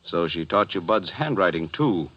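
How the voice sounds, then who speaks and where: A man's voice: low voice, expressive, husky, no echo, highs cut off. A man playing a suspicious, smug, menacing middle-aged stepfather in a 1940s radio drama. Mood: smugly menacing. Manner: insinuating, deliberate. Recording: band-limited vintage 1940s radio recording.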